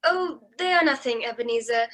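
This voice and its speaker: A woman's voice: a little high, fairly quick. A woman playing an eager, affectionate young girl in a radio play, gushing and earnest. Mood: earnest, hopeful. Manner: gushing, earnest, repeating words excitedly.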